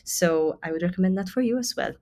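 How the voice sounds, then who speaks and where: Low-voiced Maltese woman, chatty tutorial. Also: fast, mellow. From Malta. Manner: informal, chatty, emphatic on key words. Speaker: stage actor giving advice to self-represented actors. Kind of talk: casual tutorial walkthrough.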